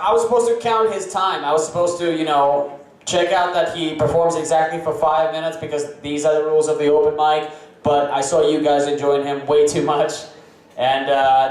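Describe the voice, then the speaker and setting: A man hosting a comedy open mic, bantering with the crowd and shouting comedian introductions. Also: on the high side, far from the mic. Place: comedy club stage. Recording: echoey live room from afar, music behind.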